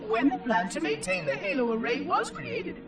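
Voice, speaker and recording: A prim chirpy machine caretaker. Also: male voice, very high-pitched, wildly swooping pitch, moderate pace, recorded from a distance, over music, full character voice. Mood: solemn. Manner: precise, formal, oddly cheerful. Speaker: monitor of an installation. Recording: game score playing behind.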